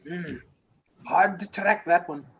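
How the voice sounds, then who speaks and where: A man's voice: high voice, slow, a bit bright, highs cut off, slight background noise, full character voice. A man voicing a folksy, shrewd trader in a tabletop game, with a drawling, colloquial manner. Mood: musing, sly. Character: folksy, shrewd male trader haggling with adventurers.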